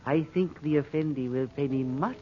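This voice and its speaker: Actor as sly, halting North African go-between, old radio. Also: male voice, fairly high pitch, a little hiss or hum, close to an everyday voice. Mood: cryptic, sly. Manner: halting, formal broken English. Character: sly North African native go-between peddling stolen papers. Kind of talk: 1940s radio acting. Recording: dull old radio transcription.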